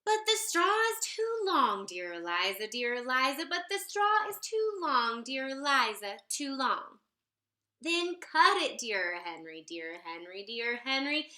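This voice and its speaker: Female children's storyteller, high and unhurried, expressive sing-song read-aloud, roomy echo. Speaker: storyteller reading a picture book aloud. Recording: roomy, echoey sound.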